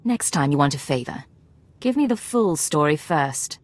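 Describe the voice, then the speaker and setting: Composed, lowish-voiced woman secret agent. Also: lively intonation, a little mellow, dry recording. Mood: stern. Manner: measured, formal, controlled. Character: composed, authoritative woman intelligence agent, guarded and principled. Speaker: player character in a video game. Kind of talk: video game voice acting, measured dialogue. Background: music.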